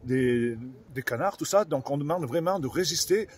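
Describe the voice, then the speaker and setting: A man's voice: a little high, animated, moderate pace, darkish tone. A man, a farmer speaking colloquially and earnestly as he hosts an informal live interview. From France.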